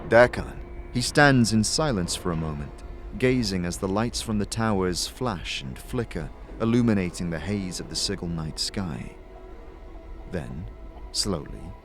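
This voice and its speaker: A man narrating a fantasy audio drama as game master, deliberate and suspenseful in his scripted delivery. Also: sits low.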